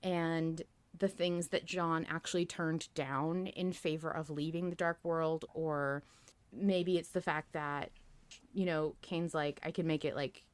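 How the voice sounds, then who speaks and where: A woman with a low-pitched voice co-hosts a podcast. She talks informally, peppering her speech with "like" and the odd swear word. The sound is very dry, with no room around it. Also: fairly even intonation, a little slow, smooth, dry recording. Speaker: podcast co-host. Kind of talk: casual chat about fan theories, reading listener comments aloud.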